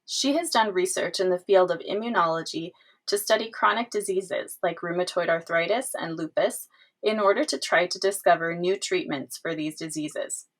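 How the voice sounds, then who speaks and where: A woman hosting a podcast, reading a scripted introduction and asking interview questions in a clear, measured way. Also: lowish voice. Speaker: podcast host.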